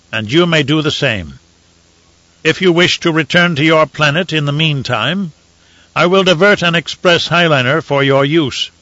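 A male narrator voicing a cold, imperious emperor who speaks in a stern, measured way. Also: on the high side, sharp tone, no echo, muffled recording, pitched above the performer's own voice.

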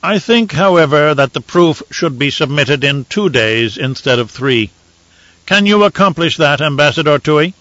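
A male narrator voicing a cold, imperious emperor who speaks in a stern, measured way. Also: on the high side, animated, a bit bright, dry recording, highs cut off, pitched above the performer's own voice.

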